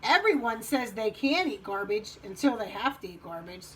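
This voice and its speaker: A woman's voice: medium pitch, very animated, moderate pace, recorded from a distance, close to an everyday voice, poor audio. A woman voicing a small, discontented young frog in a picture story, plaintive and full of insistent questions.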